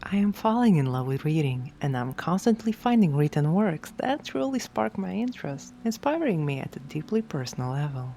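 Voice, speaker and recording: A woman reads scripted affirmations for sleep hypnosis in a measured, deliberate way, phrase by phrase. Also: low voice, sing-song, dark tone, a little hiss or hum. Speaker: affirmations narrator. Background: background music.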